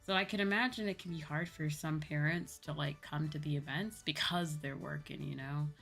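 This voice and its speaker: A young woman with an American accent and a low-pitched voice chats casually on a livestream. She rambles informally and corrects herself as she goes, with music playing clearly behind her. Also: steady tone, moderate pace, a bit bright. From United States. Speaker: livestreamer, virtual YouTuber. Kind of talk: casual chat with live viewers. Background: background music.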